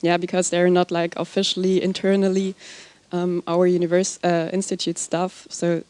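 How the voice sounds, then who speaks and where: A woman reporting back in a workshop discussion, halting and hesitant with many fillers. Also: fairly low pitch, level tone, darkish tone, clear, resonant tone. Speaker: workshop participant.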